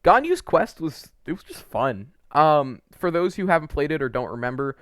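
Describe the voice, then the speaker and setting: A man's voice: a little high, very animated, moderate pace, a bit bright, no echo. Casual man reviewing games, dry close sound. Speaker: video creator and host. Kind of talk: casual opinionated video game review commentary.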